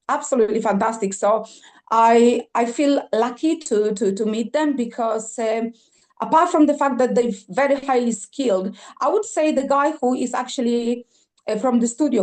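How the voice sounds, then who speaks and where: A woman's voice: fairly high pitch, darkish tone. A woman from Poland, a singer-songwriter guest on a podcast, talking enthusiastically with frequent fillers and restarts. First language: Polish. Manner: enthusiastic, halting with many fillers and repeats. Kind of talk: podcast interview.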